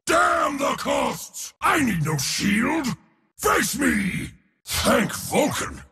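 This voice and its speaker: A man playing an arrogant, boisterous war god in a video game, speaking in a bombastic, boastful way with a gravelly, raspy voice. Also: extremely high pitch, wildly swooping pitch, very slow, bright, forceful tone, raised voice, in a large hall, exaggerated voice. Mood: battle fury. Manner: bombastic, boastful, theatrical delivery. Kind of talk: video game hero voice lines.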